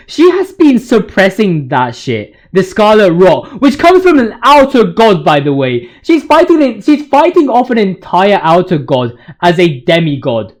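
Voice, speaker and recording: Young male gaming YouTuber, high voice, informal and excitable, rambles in heavy slang and exaggeration. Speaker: video creator, commentator. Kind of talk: informal video game commentary.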